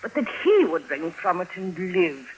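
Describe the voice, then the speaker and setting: An actress playing a feisty, devoted older housekeeper, folksy and exclamatory, in a 1950s radio drama. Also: female voice, fairly low pitch, big pitch swings, normal speed, phone-quality sound. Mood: anguished. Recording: vintage 1950s radio recording.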